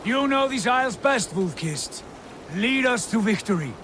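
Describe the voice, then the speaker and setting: An actor playing a bold, ambitious Viking war leader in a video game, speaking in a grand, deliberate, oratorical way. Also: male voice, extremely high pitch, animated, noisy background. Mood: rousing, fierce. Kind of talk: dramatic video game acting.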